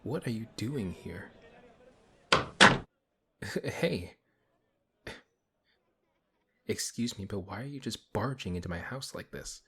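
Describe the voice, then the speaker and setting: Fantasy audio drama: male actor as exasperated good-hearted paladin, big and husky, deep register, unhurried delivery. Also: animated, background noise. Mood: startled, bewildered. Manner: flustered, questioning, sighing. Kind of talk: audio roleplay, fantasy acting.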